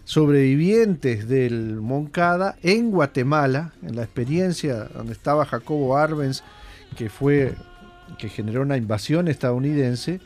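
A man's voice: mid-range voice, animated, darkish tone, faint music behind. A man hosting a radio show, giving a conversational commentary with frequent fillers and restarts. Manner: conversational, halting, many fillers. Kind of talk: casual spoken commentary.

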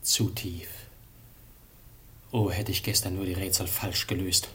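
A passionate young prince of a classic radio play. His delivery is slow and drawn out, with a bright, forceful edge. He declaims with heavy, lovesick pathos. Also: deep voice, little pitch movement, a little hiss or hum. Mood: anguished despair. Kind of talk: theatrical German radio play acting.

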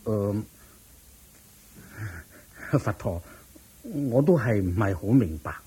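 An actor playing a thrifty, earnest, somewhat naive rich merchant in a radio drama, questioning and hesitant, with a Hong Kong Cantonese accent. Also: male voice, fairly low pitch, lively intonation, takes it slowly, warm tone, husky, full character voice, rough recording. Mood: doubtful, puzzled.

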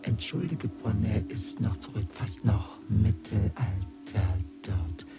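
An aloof, knowing cosmic being in a radio play, fairly low and slow. He explains things matter-of-factly in a slightly dull recording with music underneath. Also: lively intonation. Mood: explaining. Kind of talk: audio drama acting.

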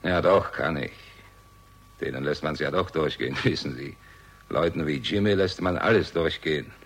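A very low, husky male voice playing a bitter old soldier in a radio crime play. He is curt and evasive, with a cynical, sardonic edge. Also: moderate pace, a bit bright, full character voice. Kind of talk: German radio crime drama acting.

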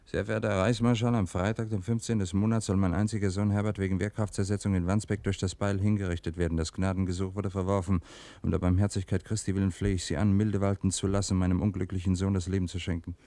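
An actor playing an embittered, sardonic mailroom clerk in a wartime radio drama, with a clipped, blunt delivery. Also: male voice, deep voice, little pitch movement, fast, warm tone, no echo. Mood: reading flatly.

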